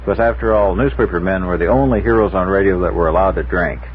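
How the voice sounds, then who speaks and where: A man's voice: sits low, fast talker. A man chatting casually as a guest on a radio panel, with a wry, teasing way of talking. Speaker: radio talk show guest. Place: radio studio. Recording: noisy tinny 1960s radio broadcast recording.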